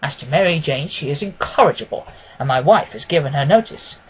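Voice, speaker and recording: A man voicing a mild, puzzled gentleman doctor in an audiobook, earnest and formal as a loyal companion. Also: fairly high pitch, lively intonation, fairly quick, a little hiss or hum, lightly acted, poor audio. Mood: protesting. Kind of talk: dramatised audiobook reading.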